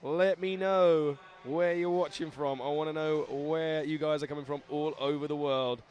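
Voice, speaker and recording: A young man hosting live commentary at a scooter event, excitable and laughing, reading out viewer shout-outs. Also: fairly high pitch, moderate pace, lo-fi sound, a little hiss or hum.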